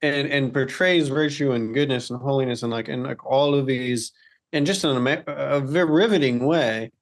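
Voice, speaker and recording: A man with a medium-pitched voice speaks as a podcast host. His style is casual and conversational, and he often backs up and restarts a phrase before carrying on. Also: moderate pace.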